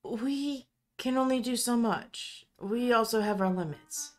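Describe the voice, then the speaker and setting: Woman acting a slow, proud, defensive goddess. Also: a little high, pitched above the performer's own voice, lightly acted. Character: proud goddess sharing a mortal's body, defensive. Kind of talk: amateur fantasy audio drama acting. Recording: music bed under voice.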